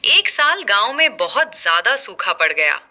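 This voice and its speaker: A woman's voice: mid-range voice, sing-song, a little slow, pushed and bright. A woman telling a moral folk tale as a scripted narration. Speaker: storyteller.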